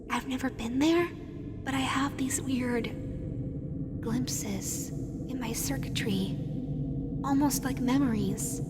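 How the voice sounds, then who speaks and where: A woman voicing a sweet, teasing female animatronic fox made for children, in an intimate roleplay audio addressed to the listener. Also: high voice. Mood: musing, confiding, then uneasy, wistful. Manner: playful cooing, drifting into wistful musing. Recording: long reverberant hall echo. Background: music.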